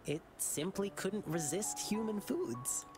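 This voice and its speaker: An earnest young lion dancer from an anime game, boyish and wistful. He tells his tale slowly and carefully, with prominent music underneath. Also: high voice, animated. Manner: earnest, measured, wistful storytelling. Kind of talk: video game story dialogue.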